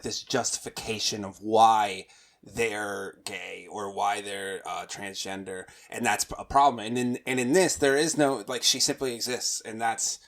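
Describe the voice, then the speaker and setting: A man's voice: on the low side, fairly bright tone. A man co-hosting a casual book discussion, often rephrasing himself mid-sentence. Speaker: podcast co-host.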